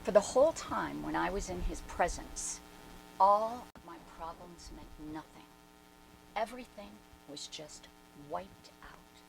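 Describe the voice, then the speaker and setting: A woman performing a dramatic reading as an awestruck devotee who fondly recalls her spiritual master, theatrical and emphatic, with deliberate pauses. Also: lowish voice, lively intonation, vocal fry, music in the background. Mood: animated, amazed. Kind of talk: dramatic reading of a first-person reminiscence. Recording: noisy live recording.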